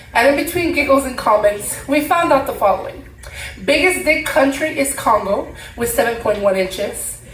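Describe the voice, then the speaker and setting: A woman in her thirties performing spoken word poetry live, emphatic, with deliberate repeated phrases. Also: on the high side. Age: 30s. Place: room at a live poetry event. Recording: roomy, distant mic, faint background noise.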